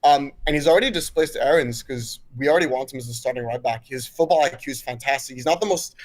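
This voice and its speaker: A man's voice: medium pitch, fast, low-quality recording. A man who grew up in Switzerland but is American, with a mixed international accent, calling in by video to chat eagerly about his football club. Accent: mixed American and Swiss international. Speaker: caller.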